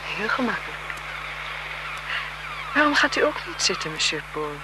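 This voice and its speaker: A woman's voice: medium pitch, very animated, moderate pace, background noise, natural voice. An actress playing a haughty married aristocratic lady, secretly seductive and evasive, in a poised, languid 1970s radio drama style. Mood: seductive, intimate.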